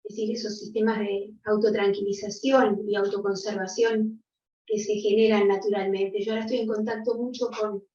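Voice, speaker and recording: An older woman speaking reflectively and gently as a panelist on a video call, often ending with a soft "¿no?". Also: medium pitch, little pitch movement, normal speed. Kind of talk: reflective conversational talk. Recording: distant, roomy, dull.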